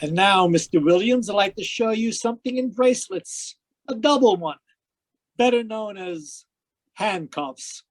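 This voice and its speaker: An actor playing a calm, courteous police inspector in an old-time radio mystery, speaking with measured authority. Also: medium pitch. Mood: quietly triumphant.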